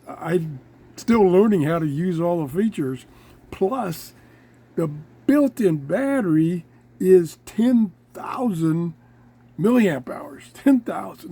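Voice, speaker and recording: A man with a relaxed, mellow voice set a little higher than most men's presents a casual hands-on product demonstration. He speaks slowly, in halting short pieces, pausing to think as he goes, like the host of a homemade review show. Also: husky.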